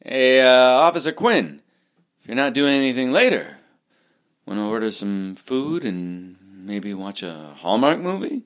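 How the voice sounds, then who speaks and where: A man's voice: mid-range voice, sing-song, a little slow, a bit bright. A man playing a goofy, rambling, scatterbrained and awkward guy. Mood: awkwardly flirty.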